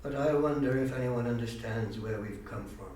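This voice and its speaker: A man's voice: mid-range voice, normal speed, a little mellow. A man auditioning as an amateur actor, moving between monologue and interview chat. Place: university room, audition being filmed. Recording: echoey room, distant mic, faint noise.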